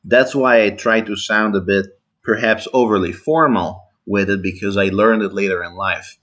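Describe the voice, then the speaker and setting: A man's voice: fairly low pitch, fairly even intonation, a bit bright. A man from Italy, not a native speaker, debating on a voice call from his family home. He interrupts often and probes each point with care. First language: Italian. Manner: interrupting, probing, deliberate. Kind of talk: casual online debate.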